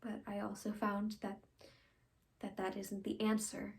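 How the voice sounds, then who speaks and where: A woman acting an earnest, conflicted young woman in a halting, pleading stage monologue of confession and farewell. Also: mid-range voice, completely flat delivery, creaky. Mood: wistful, confiding. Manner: halting, pleading, with long pauses and hushed phrases.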